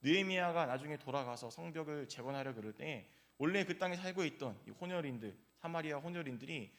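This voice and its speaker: A man's voice: fairly high pitch, lively intonation, fast talker, a bit bright. A male pastor giving an early-morning sermon in a church, telling personal stories in a conversational way with frequent filler sounds. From South Korea, grew up in Gyeongsang region.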